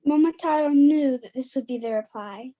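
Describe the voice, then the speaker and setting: A woman's voice: high voice, moderate pace, warm tone, natural voice. A woman narrator reading a scripted folktale as part of a dramatic performance.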